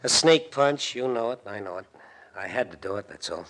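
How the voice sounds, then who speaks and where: An actor playing a tough, bossy, embittered gangster in a 1940s radio drama, with an American accent and clipped, slangy speech. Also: male voice, fairly low pitch, lively intonation, a little fast, creaky, a bit bright. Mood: bitter. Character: tough, menacing gangster boss, bossy, bitter jilted husband.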